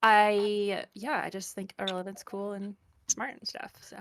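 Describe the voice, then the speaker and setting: A woman's voice: mid-range voice, little pitch movement, unhurried, a crowd in the background. A woman from Canada with a mid-range voice chats on a streamed dating show. She talks casually and swears freely as she goes. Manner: casual, swears freely, laughs between words. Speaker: contestant.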